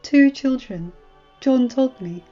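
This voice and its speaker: A woman voicing a kindly, wise, very elderly male maester in a fantasy story, speaking in a courtly, measured way. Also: sits high, animated, mellow. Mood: tender. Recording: muffled audio with music.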